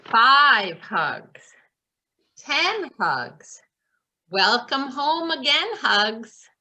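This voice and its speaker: A woman's voice: high-pitched, big pitch swings, extremely slow, bright, forceful tone. A woman reading children's stories and verse aloud expressively. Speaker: reader in a readers theatre.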